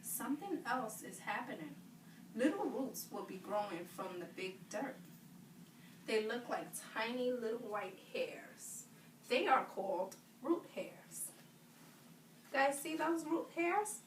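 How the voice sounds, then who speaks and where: A woman teacher reading aloud to children, pausing to question them and affirm their answers. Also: a little high, sing-song. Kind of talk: interactive read-aloud lesson with questions to children. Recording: roomy, distant mic, clear background noise.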